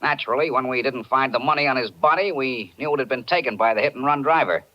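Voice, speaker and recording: A man playing a confident, matter-of-fact police detective in 1940s radio acting style, brisk and knowing. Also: medium pitch, lightly acted. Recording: muffled 1940s radio recording.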